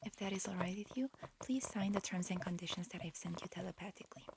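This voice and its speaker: A woman voicing a polite alien examiner in human guise, whispering softly and hesitantly in an ASMR roleplay. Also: fairly low pitch, fast, vocal fry, background noise. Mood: politely procedural. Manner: soft, hesitant, politely procedural.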